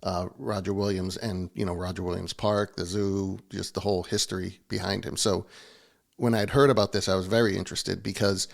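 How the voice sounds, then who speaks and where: Gravelly low-voiced man hosting an interview. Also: little pitch movement, fairly quick. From Massachusetts, USA. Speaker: host. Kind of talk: conversational interview.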